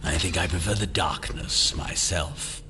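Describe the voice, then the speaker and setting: An actor playing a brooding, fatalistic conspiracy elder in an audio drama, with a drawling, philosophical delivery. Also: male voice, deep voice, unhurried, background noise.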